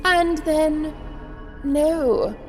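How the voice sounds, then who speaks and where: A woman's voice: high voice, fairly even intonation, drawn-out words. A woman playing a serene, cryptic, all-knowing oracle in a fantasy audio drama, formal and portentous. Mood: cryptic, grave. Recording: long reverb, music behind.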